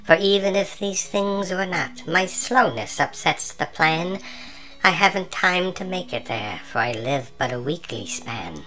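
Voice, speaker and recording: Man playing a high, bright-toned clever moth, calm and knowing, storybook reading. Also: measured pace, soft background music, heavily acted, low-quality recording. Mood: earnest, pleading. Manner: calm, knowing, slightly superior. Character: calm clever male moth, precise and learned.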